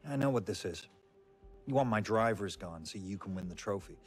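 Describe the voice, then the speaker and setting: A man's voice: lowish voice, animated, fairly quick, husky, soft background music. A man acting as an arrogant, brash racing team boss in a naturalistic screen drama. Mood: defiant.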